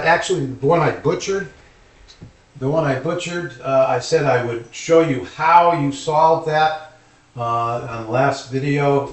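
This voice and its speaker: In a roomy, echoing space, a male teacher speaks at some distance from the microphone. His pitch is average for a man. He gives an informal math lecture, explaining step by step as if thinking aloud. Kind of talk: informal calculus lecture at a board. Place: indoor room, at a board. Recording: echoey room, distant microphone.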